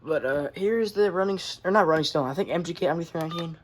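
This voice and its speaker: A man's voice: fairly high pitch, fast. Rambling teenage guy showing off gadgets. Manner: rambling, corrects himself often. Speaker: collector showing his weather radios. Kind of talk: casual show-and-tell.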